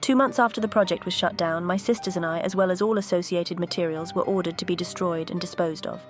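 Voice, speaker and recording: A woman voicing a composed vintage aircraft character, telling her story in a measured, earnest cartoon dialogue style. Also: fairly low pitch, steady tone, soft background music, lightly acted. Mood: earnest recounting. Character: composed, bitter but grateful female vintage jet museum exhibit.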